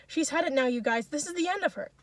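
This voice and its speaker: A woman voicing rowdy, drunken young peasant men in a story, goading with excited shouts. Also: high-pitched, quick pace, no echo, pitched above the performer's own voice. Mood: jeering. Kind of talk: audiobook-style dramatic reading.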